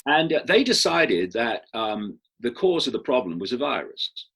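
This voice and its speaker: A man explaining his points in a halting, emphatic way, counting them off. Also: medium pitch, very animated, a bit bright, slightly rough. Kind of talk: explanatory talk.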